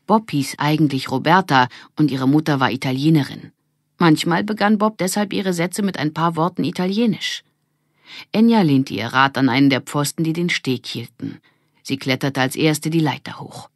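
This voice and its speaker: A woman reading a children's audiobook as narrator, with expressive scripted storytelling. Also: deep voice, no room sound, clean studio sound.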